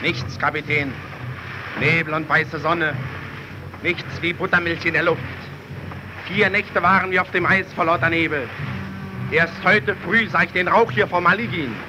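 Actor as high-voiced, dogged polar aviator. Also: male voice, fairly even intonation, normal speed, long pauses, natural voice. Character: exhausted, dogged, frustrated male polar aviator. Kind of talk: vintage German radio drama acting. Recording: noisy old radio play recording.

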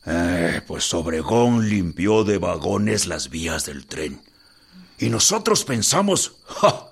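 Radio drama: very old folksy Mexican revolutionary veteran, creaky, unhurried storyteller. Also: male voice, sits low, very animated, exaggerated voice. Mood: reminiscing. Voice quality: gravelly, husky.

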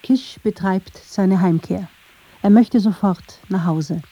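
Very dark-voiced, husky woman narrator. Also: mid-range voice, slow, no room sound. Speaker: narrator of a radio feature. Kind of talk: scripted documentary narration.

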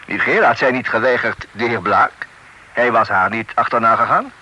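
A man's voice: mid-range voice, fairly quick, sharp tone, lo-fi sound. A man playing a wry French-accented official. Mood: confiding. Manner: dry, knowing, chuckles, sprinkles French words. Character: worldly French-speaking male official, wry. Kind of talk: radio play acting. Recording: old radio recording with faint hiss.

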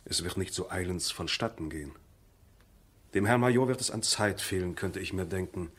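A cautious male servant, low in pitch and respectful. He speaks in measured, deferential phrases, in the style of a seventies radio play. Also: a bit bright, breathy edge. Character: deferential, cautious manservant to a baron. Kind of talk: 1970s German radio play acting.

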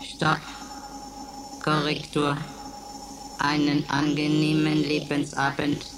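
Robotic announcer, monotone, slow, clipped formulas, radio drama, noisy recording. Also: female voice, sits low. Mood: flat, procedural. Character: emotionless female computer running roll calls and quizzes. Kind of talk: radio play acting.

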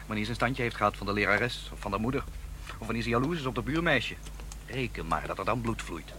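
A man's voice: lowish voice, expressive, fast, sharp tone, slight background noise. A man playing a cynical, militant radical in his twenties in a radio drama, hard-nosed and sardonic. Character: cynical, militant young radical son, aged twenty-four.

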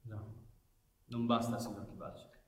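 A man playing a measured police commissioner, echoey. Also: on the low side, little pitch movement, a little hiss or hum, lightly acted. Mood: measured, resigned. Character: restrained, pragmatic police commissioner. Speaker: stage actor. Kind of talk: live Italian theatre acting. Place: theatre stage. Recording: echoey theatre stage.

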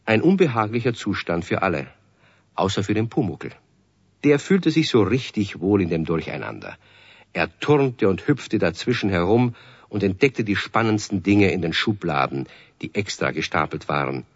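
Low-voiced children's radio storyteller. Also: male voice, very animated, normal speed, breathy edge, dry recording, heavily acted. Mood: storytelling. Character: genial male storyteller of a children's tale. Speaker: narrator. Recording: dull dry vintage radio recording.

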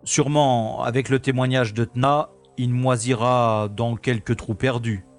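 A man playing a cocky, excitable special agent in a science-fiction audio drama, theatrical, easily outraged and flustered. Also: mid-range voice, unhurried, soft background music, close to an everyday voice. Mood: indignant, wry. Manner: theatrical, indignant exclamations, flustered hesitations.

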